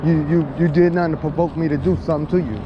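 A man's voice: fairly high pitch, steady tone, very fast, dull audio. A man in a confrontational street exchange, insistently repeating his questions and accusations as host of an on-camera confrontation. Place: large open parking lot at night. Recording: very noisy outdoor action-camera audio.

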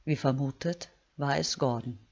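A woman reading scripted narration slowly and deliberately. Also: extremely low pitch, gravelly, darkish tone. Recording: dull lo-fi sound.

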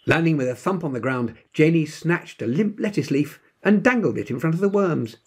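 A man in his seventies reading a children's storybook aloud with expressive narration. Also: fairly high pitch, moderate pace, husky. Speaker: storybook reader. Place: indoor room at home.

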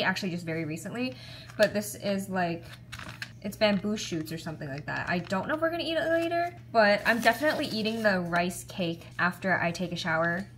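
A woman's voice: medium pitch, a bit bright, a little hiss or hum. Chatty young woman vlogging. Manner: chatty, upbeat, rambling with many "like"s. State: sniffling after a shower. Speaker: travel vlogger. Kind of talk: casual travel vlog chat. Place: hotel room, indoors. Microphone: lapel mic.

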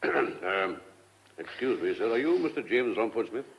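A man's voice: fairly low pitch, lively intonation, normal speed, dull audio, a little hiss or hum. An actor in a radio drama playing a fatherly, exasperated country police sergeant. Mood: hesitant.

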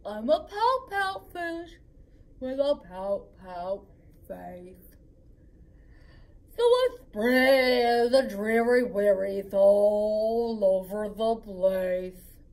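A woman voicing a glum, gloomy male fish in a storybook reading, talking in a slow, mopey drawl. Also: medium pitch, very animated, a little mellow, far from the mic, voice pushed down, full character voice, poor audio.

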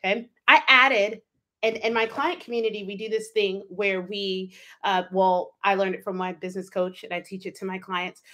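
An animated woman in her thirties hosts her own coaching podcast from a home office. She talks emphatically into a close studio mic and slips into quick put-on inner voices to make her points. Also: mid-range voice. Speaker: life coach and podcast host. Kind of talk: solo podcast monologue. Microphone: studio mic with pop filter, wearing headphones.

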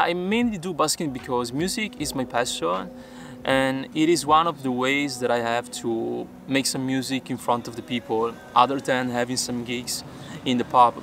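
A man's voice: medium pitch, pushed and bright, a little hiss or hum. A young man from Peru, a native Spanish speaker, answering viewer questions in careful, earnest English while sitting outdoors by the water. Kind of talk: casual vlog Q&A.

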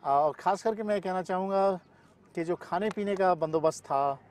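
Middle aged guy being interviewed outside, voice a bit high, noticeable ambient noise. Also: moderate pace, a little mellow. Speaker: paragliding pilot and event weather expert, interview guest. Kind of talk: interview answers, Hindi with English terms. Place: open grassy field in the mountains. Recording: clear outdoor ambient noise.

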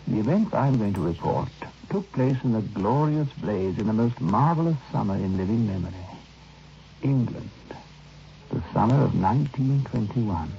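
An actor playing a reflective man recalling a youthful summer, in measured, literary radio drama narration. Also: fairly low pitch, lively intonation, creaky, a little hiss or hum. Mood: solemn, nostalgic. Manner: measured, literary, wistful storytelling. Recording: dull vintage radio recording with music behind.

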